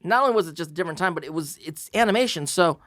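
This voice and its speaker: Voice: mid-range voice, expressive, racing, a bit bright, dry recording, studio quality. A podcast co-host chatting casually and enthusiastically in emphatic, broken-up phrases.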